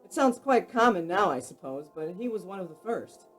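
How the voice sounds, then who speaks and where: A property owner in a radio play, reminiscing about a place's past. The voice is medium in pitch and conversational, with music playing behind it. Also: big pitch swings, fairly quick, a bit bright. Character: chatty local property owner telling its history. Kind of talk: radio drama acting.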